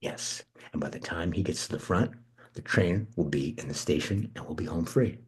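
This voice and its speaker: A man playing a brash, irreverent schemer with a husky voice. He is restless and casual, pitched a little low, and reads in a naturalistic script-reading style. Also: moderate pace, darkish tone. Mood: conspiratorial. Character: brash, restless, fast-talking slacker cousin, scheming and irreverent. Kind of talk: casual naturalistic table read acting.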